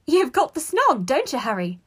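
Woman putting on a squealing, high-pitched teenage schoolgirl. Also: huge pitch swings, normal speed, a bit bright, heavily acted. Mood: delighted, teasing. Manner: squealing, teasing. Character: giggly, excitable teenage schoolgirl classmate.

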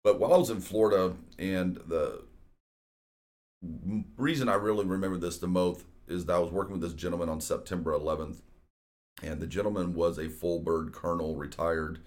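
A man's voice: a little fast, darkish tone. A man, hosting a real estate talk show in a casual, conversational way with frequent fillers. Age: 40s. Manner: deep voice. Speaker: real estate podcast host.

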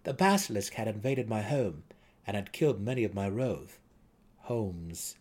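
A man voicing a modest male dark elf who speaks haltingly in a fantasy audiobook. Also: fairly low pitch, expressive. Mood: grave. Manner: measured, halting, searching for words. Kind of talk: dramatic fantasy audiobook character voice.

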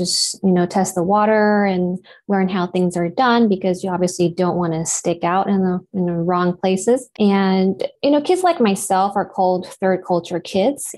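A woman in her thirties whose first language is Japanese speaks about her life in a reflective interview. She talks at a steady, considered pace and leans on "you know" as she gathers her thoughts. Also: fairly low pitch. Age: 30s. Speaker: actor and guest.

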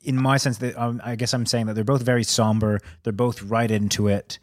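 A man's voice: mid-range voice, little pitch movement, brisk, dry recording, studio quality. A man co-hosting a casual film review podcast, talking informally and ready to debate.

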